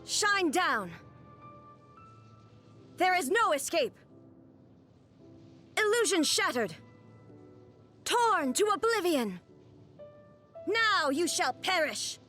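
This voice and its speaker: A woman voicing a solemn, regal immortal warrior ruler in a video game, speaking in a formal, measured, imperious way. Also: fairly high pitch. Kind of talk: scripted video game character voice lines.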